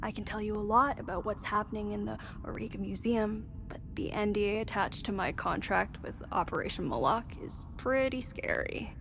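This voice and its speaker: An actress playing a chatty, wry military research scientist in a video game, explaining things in a measured, conversational way. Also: female voice, mid-range voice, gravelly, noisy background, lightly acted. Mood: calm, confiding. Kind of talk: scripted game dialogue, conversational exposition.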